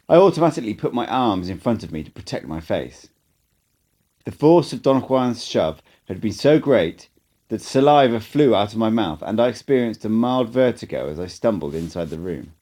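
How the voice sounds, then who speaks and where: Man, amateur audiobook narrator, low voice, animated pitch, dramatic storytelling. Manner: expressive, dramatic storytelling delivery. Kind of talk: first-person audiobook narration.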